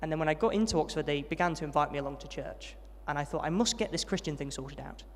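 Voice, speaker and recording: Fast-talking young man in a hall. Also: on the high side. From Yorkshire, England. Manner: friendly, self-deprecating, fast storytelling with many ums. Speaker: interviewee, trainee vicar. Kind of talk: testimony interview at a student event.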